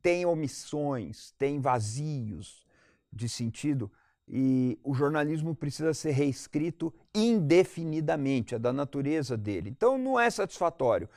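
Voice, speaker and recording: Brazilian male panel guest, higher-pitched side, dry sound, explanatory and articulate analytical delivery. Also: animated, measured pace, darkish tone, no room sound. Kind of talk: analytical panel debate commentary.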